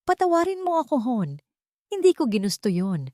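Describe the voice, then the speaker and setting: A woman's voice: high voice, big pitch swings, moderate pace, warm tone, no room sound, clean studio sound, pitched above the performer's own voice. A Filipino woman voicing a cowardly rich husband who pleads tearfully in an audio romance story. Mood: tearful begging.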